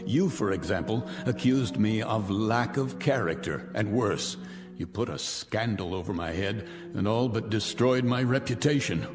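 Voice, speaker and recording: An actor playing an arrogant, vengeful millionaire in a radio drama, speaking in a theatrical, pompous, taunting and articulate way. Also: male voice, fairly low pitch, a little slow, natural voice. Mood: wounded, scornful. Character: vengeful, arrogant millionaire man holding old enemies captive. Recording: reverberant radio drama with music underneath.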